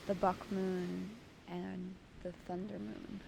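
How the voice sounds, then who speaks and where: A woman leading a guided meditation as its host, giving soothing, deliberate instructions in short phrases. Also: lowish voice, flat delivery, creaky, noisy background.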